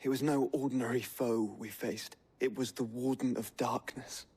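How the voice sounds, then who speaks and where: An ailing young noble in a fantasy game cutscene. His voice is markedly husky and breathy at a middle pitch, and his phrasing is formal, eloquent and earnest, with frustration underneath. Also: fairly even intonation, normal speed, dark tone. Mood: frustrated. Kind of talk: dramatic video game cutscene acting.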